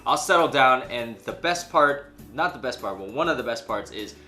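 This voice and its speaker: A man co-hosts a casual chat show with a bright, forceful voice. His pitch moves around a lot as he retells a story in slang and acts out what people said. Music plays faintly behind him. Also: moderate pace. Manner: animated, slangy, acts out imagined quotes. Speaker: co-host of a news chat show. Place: small furnished room indoors, on a couch.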